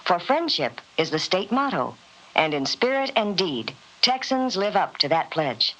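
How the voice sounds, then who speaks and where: A woman's voice: fairly low pitch, very animated, unhurried, fairly bright tone. A woman reading a scripted promotional narration in a vintage radio spot. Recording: dull vintage radio recording.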